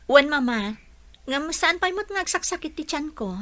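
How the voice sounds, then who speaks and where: High-voiced young Ilocano wife. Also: female voice, animated, moderate pace, pushed and bright. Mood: polite. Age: young adult. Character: sweet pregnant young wife, dutiful daughter. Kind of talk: Ilocano radio drama acting.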